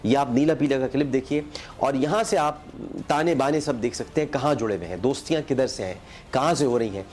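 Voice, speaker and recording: A man's voice: mid-range voice, brisk, a little mellow, slight background noise. Pakistani man in an accusatory monologue. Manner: rhetorical, accusatory, emphatic. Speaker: talk show host. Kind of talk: polemical TV monologue to camera.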